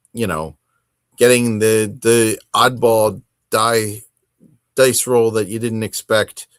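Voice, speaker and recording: A man hosting a hobby podcast, talking casually on his own in a rambling, halting and self-deprecating way. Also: on the low side, clear, resonant tone, dry recording, studio quality. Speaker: host of a tabletop wargaming podcast. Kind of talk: casual solo chat to camera.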